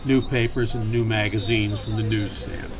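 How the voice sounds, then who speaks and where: A man playing a wry, world-weary private detective, narrating deadpan in a campy noir radio play. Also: fairly low pitch, moderate pace, close to an everyday voice. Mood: wry narration.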